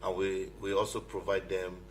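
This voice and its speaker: A man with a Nigerian accent explaining as a guest in a radio interview, haltingly, repeating phrases. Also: deep voice, monotone, poor audio. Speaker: guest, hackathon organiser. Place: radio studio. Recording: clear background noise with hum.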